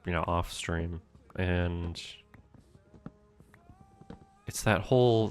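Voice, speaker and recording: A young man streaming a game from home, chatting with his viewers in a soft, meandering way. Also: low voice, clear, resonant tone. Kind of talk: casual gameplay commentary.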